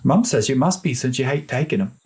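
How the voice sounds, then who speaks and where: A man's voice: medium pitch, lively intonation, fairly quick, a little mellow, pitched above the performer's own voice, natural voice. A man voicing a timid young boy, an easily frightened little brother in a storybook tale. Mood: timid, curious.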